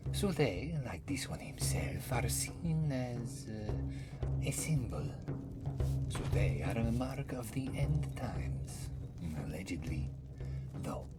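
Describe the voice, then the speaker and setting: A man voicing a very old, wry, courteous cat-folk former priest in a fantasy roleplay ASMR, softly, often slipping into a whisper, musing about himself in the third person. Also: on the low side. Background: music.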